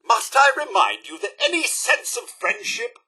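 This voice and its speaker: A man's voice: very high-pitched, lively intonation, moderate pace, pushed and bright, slightly rough, full character voice. A man with a British accent voicing a prim, loyal robot butler in a video game, with a formal, fussy, theatrical manner. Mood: offended. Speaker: companion character in a video game. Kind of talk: scripted game dialogue.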